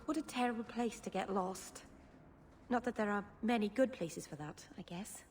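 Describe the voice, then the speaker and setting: A woman's voice: medium pitch, normal speed, darkish tone, roomy sound, faint music behind, close to an everyday voice. A woman voicing a sweet, naive young woman in a video game, chatty and innocently apologetic. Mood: rambling.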